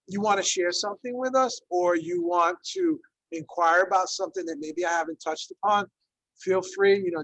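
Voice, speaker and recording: A man in his fifties, originally from Ecuador, leads an online class over a video call with a high, gravelly voice. He talks in a loose, conversational way, with plenty of fillers and frequent check-ins with his listeners. The sound is dry and close, with no room around it. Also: fairly bright tone, no echo, low-quality recording. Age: 50s. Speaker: Spanish teacher leading a workshop. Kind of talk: online workshop presentation.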